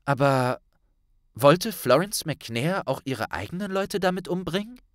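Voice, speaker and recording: A man's voice: a little high, expressive, measured pace, no echo, clean studio sound, pitched above the performer's own voice. A man voicing an earnest, anxious teenage witch girl who speaks in a careful, questioning and precise way for an audiobook.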